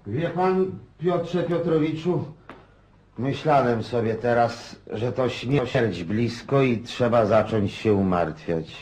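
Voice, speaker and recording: An actor playing a garrulous, restless old Russian country landowner in a radio drama, rambling in a folksy way. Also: male voice, medium pitch, expressive, slow, darkish tone, slight background noise. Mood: musing, confiding. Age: older. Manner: rambling, folksy, muses aloud.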